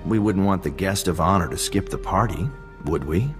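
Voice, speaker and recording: A man voices a wry intelligence agent in a video game. His voice is deep and his manner casual and unhurried. It carries long reverb, with music behind it. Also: lightly acted. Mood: wry, relaxed. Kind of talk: video game cutscene dialogue.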